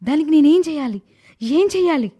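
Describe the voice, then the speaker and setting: A greedy young daughter-in-law from an animated moral story, acted by a woman. Her voice is very high, and her delivery is theatrical and calculating, with a cruel, self-serving temper. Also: moderate pace. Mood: worried, greedy. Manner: calculating, dramatic, self-pitying when pleading to others.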